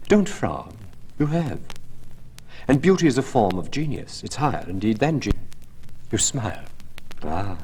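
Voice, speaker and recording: A man voicing a languid, cynical aristocratic gentleman in a storybook reading, grandly persuasive and epigrammatic. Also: fairly low pitch, breathy edge, low-quality recording. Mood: intense, persuasive.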